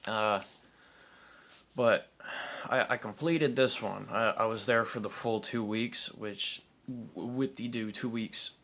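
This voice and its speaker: Halting, candid young man with a low voice. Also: a bit bright. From South Carolina, United States. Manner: halting and candid, many ums and restarts. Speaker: vlogger telling his recovery story. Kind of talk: unscripted personal storytelling to camera.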